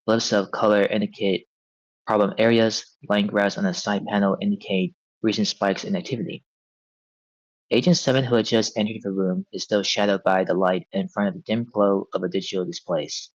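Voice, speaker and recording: A man reading stage directions as narrator in a live table read, measured and descriptive. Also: on the low side, fairly even intonation, no echo, lo-fi sound.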